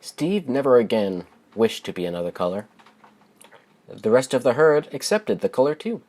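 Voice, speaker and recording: Male storybook narrator, medium pitch, deliberate and expressive delivery for kids. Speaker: children's book author reading aloud.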